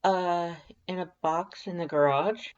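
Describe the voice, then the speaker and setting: A reader voicing a friendly, slightly awkward homeowner, casual, hesitant and gently teasing, in a fan fiction audiobook. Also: medium pitch, steady tone, unhurried, smooth, lo-fi sound, pitched above the performer's own voice, close to an everyday voice.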